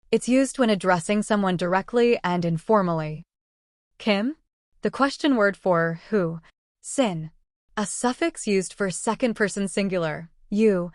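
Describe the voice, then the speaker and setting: A woman teaching a language lesson, explaining phrases in an even, scripted way. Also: medium pitch, dry recording, studio quality.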